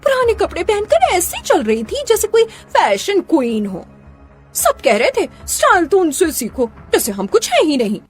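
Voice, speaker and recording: A woman's voice: extremely high pitch, wildly swooping pitch, normal speed, faint music behind, heavily acted. A woman voicing a spiteful, complaining college-age girl in a cartoon drama. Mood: indignant, jealous. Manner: indignant, complaining.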